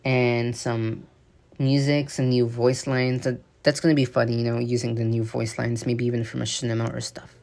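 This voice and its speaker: Man, gravelly voice, mid pitch, casual voice-over, rambling, halting, filler-heavy. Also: flat delivery, darkish tone. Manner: rambling, halting delivery with frequent fillers and restarts. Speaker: game news commentator. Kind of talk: casual voice-over commentary on gameplay footage.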